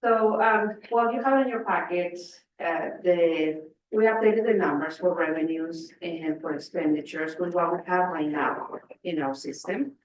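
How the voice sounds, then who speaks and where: A woman with a low voice presenting a finance report at a school board meeting, explaining carefully with many fillers. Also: a little slow, few pauses, lo-fi sound, slight background noise. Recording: echoey room, distant mic.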